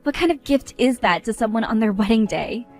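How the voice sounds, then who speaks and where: A woman's voice: a little high, expressive, fairly quick, a little mellow, soft background music. A woman playing a fed-up, scolding young bride. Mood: indignant. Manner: indignant, scolding.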